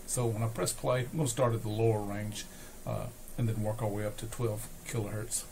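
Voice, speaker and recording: A man giving an unscripted, hands-on repair demonstration, explaining step by step with hesitant pauses. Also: on the low side, steady tone, gravelly, a little mellow. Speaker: host, electronics repair demonstrator. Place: small cluttered indoor electronics workbench. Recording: noisy workshop recording with equipment sounds.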